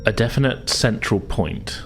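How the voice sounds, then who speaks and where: A man's voice: medium pitch, unhurried, faint music behind. A man working as game master, narrating a tabletop sci-fi adventure in a hesitant, conversational, descriptive way.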